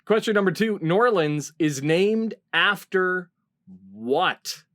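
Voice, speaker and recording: A man's voice: sits high, lively intonation, takes it slowly, fairly bright tone. A middle-aged man hosting a comedy panel quiz over a video call. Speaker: quiz show host.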